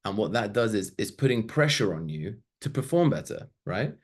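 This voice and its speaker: Man in his thirties with a fairly low voice; relaxed, chatty presenter who wanders into asides. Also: normal speed. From Sweden. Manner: loose and chatty, with fillers and asides. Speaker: webinar presenter. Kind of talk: casual slide-based webinar talk.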